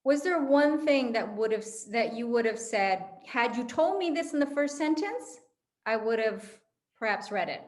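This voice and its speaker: A woman's voice: fairly high pitch, expressive, moderate pace, darkish tone. A woman moderating a panel conversationally, encouraging speakers and summing up what they say.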